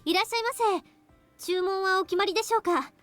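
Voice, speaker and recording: An actress voicing young female anime schoolgirl characters in a visual novel, speaking in a composed, measured way. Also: very high-pitched, a bit bright. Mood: polite. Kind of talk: Japanese visual novel voice acting. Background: faint game music.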